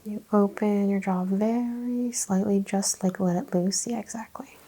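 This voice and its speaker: Female ASMR practitioner role: gentle, half-whispered, husky and soft-toned, calm exam-room questions and explanations. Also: medium pitch. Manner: gentle, often dropping into a whisper; explains and questions in short unhurried phrases. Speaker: ASMR host playing a chiropractor.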